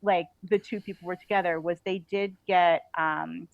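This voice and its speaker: A woman's voice: lowish voice, fairly even intonation, gravelly, no echo. Chatty woman, slightly dull recording. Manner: informal, halting phrasing with frequent "like". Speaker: guest author being interviewed. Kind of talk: casual, chatty interview talk.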